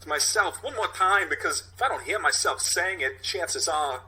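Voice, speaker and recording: Casting: an unpredictable cop-show captain, Walken-like halting delivery, pleasant yet menacing, raised and animated pitch. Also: male voice, rough recording. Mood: deliberate, sardonic. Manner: halting theatrical monologue swinging between hushed and shouting. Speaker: police captain.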